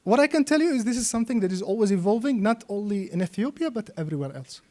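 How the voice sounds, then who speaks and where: Male seminar trainer, high-set voice, soft mellow tone, explanatory, frequently checks that listeners follow. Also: expressive, fast talker. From Yemen. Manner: checks understanding with frequent "okay?" and "right?". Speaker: trainer and Islamic finance expert.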